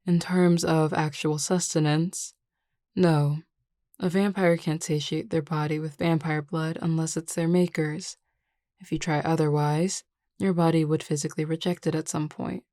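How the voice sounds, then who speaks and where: A woman playing a confident, reassuring werewolf pack beta in a scripted audio roleplay, speaking in a measured, explanatory way. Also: low voice, little pitch movement, mellow, no room sound, studio quality, close to an everyday voice. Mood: frank, reassuring.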